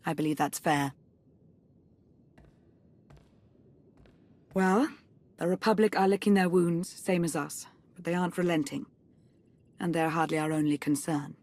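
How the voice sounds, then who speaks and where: An actress playing a composed, dutiful Sith intelligence chief in a video game, proud yet self-reproachful, speaking formally and earnestly. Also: female voice, deep voice, darkish tone. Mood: composed, businesslike. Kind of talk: dramatic video game cutscene acting.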